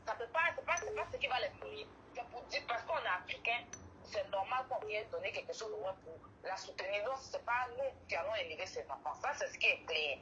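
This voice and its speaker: A woman's voice: medium pitch, animated, a little fast, bright, forceful tone, muffled recording, a little hiss or hum. A woman speaking emphatically on a live stream, repeating her points and restarting sentences. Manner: emphatic, repetitive, hesitant restarts. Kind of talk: casual live-stream talk.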